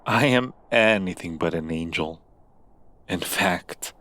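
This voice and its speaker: Obsessive demon prince in human form, played by a man: low, slow, deliberate, tenderly menacing seducer for ASMR roleplay. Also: fairly bright tone. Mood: seductive, sinister.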